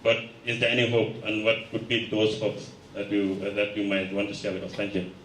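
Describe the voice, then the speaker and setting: A man's voice: on the low side, monotone, a little fast, fairly bright tone, a little hiss or hum. A man from the audience at a round table, asking a long question with many hesitations and restarts. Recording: echoey room, picked up from a distance.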